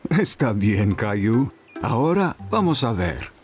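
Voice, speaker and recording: A man playing a calm, reassuring father in a children's cartoon dub. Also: fairly low pitch, takes it slowly, faint music behind. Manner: reassuring, patient. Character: calm, patient father of a small boy, gently firm.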